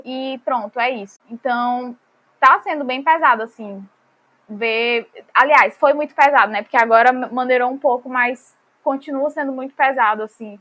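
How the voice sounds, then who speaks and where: A woman with a fairly high voice speaks as a podcast guest. She talks earnestly and loosely, with a lot of filler words. Her level drops off often at the ends of phrases. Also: a bit bright. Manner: earnest, with frequent fillers like "tipo" and "né". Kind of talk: casual podcast conversation.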